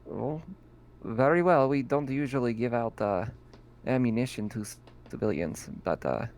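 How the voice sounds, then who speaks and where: Sex: man. Figure: a man playing an armory keeper who quietly bends the rules for a civilian. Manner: dry, conspiratorial. Pitch: mid. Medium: tabletop role-play. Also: moderate pace, gravelly, lightly acted. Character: gruff male armory keeper, bending rules.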